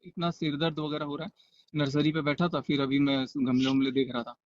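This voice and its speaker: A man on a phone call, mostly agreeing in short, quiet replies and speaking softly about his day. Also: on the high side, flat delivery, fairly quick, no room sound. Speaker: caller on the other end. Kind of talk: casual phone conversation. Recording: muffled dry phone-call recording.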